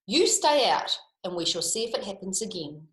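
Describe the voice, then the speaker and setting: A woman voicing an excitable, bossy old male inventor from a picture book, speaking slowly and emphatically in a loud shout. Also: mid-range voice. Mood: cross. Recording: roomy echo.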